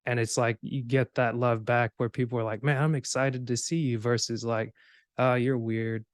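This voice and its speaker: Even-toned man hosting a casual podcast. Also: mid-range voice, normal speed, no room sound, clean studio sound. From Cameroon, grew up in Georgia, USA. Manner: informal, rambling, acts out imagined remarks. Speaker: podcast host.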